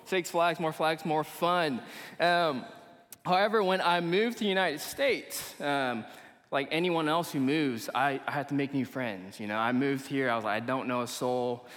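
A man's voice: a little high, moderate pace, a bit bright. A young man from Malaysia preaching casually to a young adults group at church, in an informal, slangy, conversational way. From Kuala Lumpur, Malaysia. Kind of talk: casual sermon to a live congregation. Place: church meeting hall. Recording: long reverberant hall echo.

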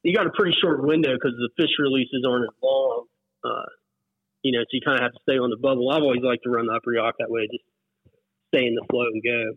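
A man's voice: mid-range voice, steady tone, quick pace, husky, dry recording. An American man in his forties talks casually as an interview guest, reminiscing at an easy conversational level. His voice comes through a narrow, phone-like connection with very little room sound. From West Virginia, US. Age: 40s. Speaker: interview guest, whitewater paddler. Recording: muffled phone-like line, dry.

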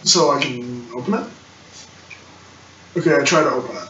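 A man's voice: mid-range voice, normal speed, a bit bright. A man chatting casually as a player in a tabletop role-playing game. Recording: roomy, muffled distant mic with clicks, hiss.

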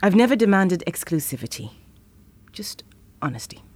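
Frank, lively, low-pitched lover. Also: female voice, normal speed, dry recording. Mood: tender, hurt. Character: independent, frank, playful woman chocolatier in love. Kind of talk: naturalistic audio drama acting.

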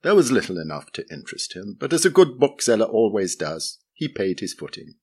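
Man reading an old memoir aloud, lowish voice, lots of rise and fall. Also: very animated, fairly quick, darkish tone, husky. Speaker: reader of a memoir essay. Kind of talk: measured reading of a literary memoir.

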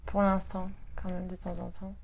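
A woman in her thirties from Brittany, a guest talking casually in an interview, with many pauses and fillers. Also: on the low side, completely flat delivery, creaky, a crowd in the background. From Brittany, France. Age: 30s.

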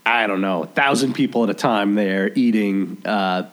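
A man's voice: lowish voice, moderate pace. Rambling man hosting local radio. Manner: rambling, lots of fillers and restarts. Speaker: radio show host. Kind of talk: casual chat.

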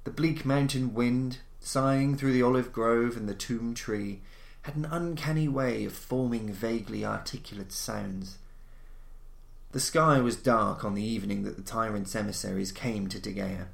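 A man's voice: mid-range voice, fairly even intonation, normal speed, creaky. Echoey man reading a literary tale. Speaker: audiobook-style narrator of classic horror stories. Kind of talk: literary story reading, scripted narration. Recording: roomy, echoing voice recording.

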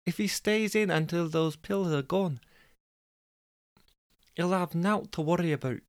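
An exasperated Scottish girlfriend, played by a man in a homespun comic story. The voice sits high, with a wobbly Scottish lilt and a chatty, grumbling, put-upon manner. It is crisp and very dry. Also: measured pace, dry recording. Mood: fretful, defensive. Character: exasperated Scottish girlfriend, long-suffering but fond.

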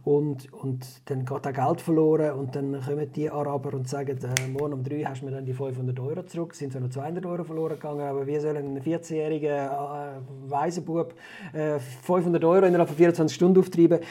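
A man's voice: a little high, fairly even intonation, fast talker, dark tone. A man with a Swiss German accent chatting casually as he reviews a film, telling it in a rambling way. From Switzerland.

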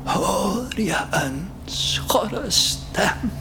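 An ominous storyteller, acted by a man, tells of a doomed voyage in a radio play. The delivery is feverish and theatrical, drawn out extremely slowly. Loud music runs behind the voice. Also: high voice, expressive, exaggerated voice. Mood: grim, ominous. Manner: theatrical, swinging between whispers and shouts. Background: loud music and soundscape.

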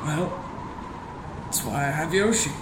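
An actor playing a naive, eager, bumbling adventurer in a comic radio play, halting and mock-formal in an echoey room. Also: male voice, on the high side, animated. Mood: earnest. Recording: echoey room with noisy background.

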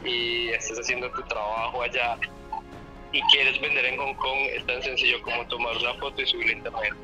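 A man from Colombia speaks earnestly as an interview guest, his pitch level and fairly flat, with little rise and fall. Music plays clearly underneath his voice throughout. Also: brisk, rough recording. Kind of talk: interview answers.

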